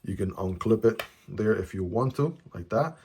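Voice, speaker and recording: A man's voice: lowish voice, expressive, moderate pace, a little mellow, a little hiss or hum. A man whose first language is Chinese, chatting casually through a product unboxing, often tagging his sentences with "right?". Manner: chatty, frequent "right?" tag questions. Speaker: product reviewer. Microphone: phone camera.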